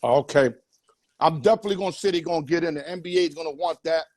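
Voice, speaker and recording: A man's voice: a little high, fast, darkish tone, no echo. A man hosting a casual sports talk show, loose and joking, full of street slang. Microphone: headset or earpiece.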